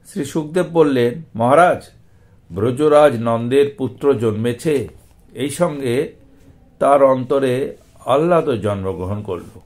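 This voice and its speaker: A man giving a scripted devotional reading of scripture, with deliberate, measured phrasing broken by short pauses. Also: medium pitch, a little mellow. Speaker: scripture reader and presenter.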